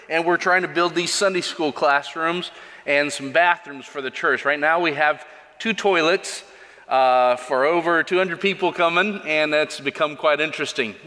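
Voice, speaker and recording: A man's voice: fairly high pitch, pushed and bright. A man speaking as a visiting missionary at a church service, earnest and plainspoken, with frequent restarts. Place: church sanctuary. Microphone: microphone over a church sound system. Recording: long church hall reverb, faint music.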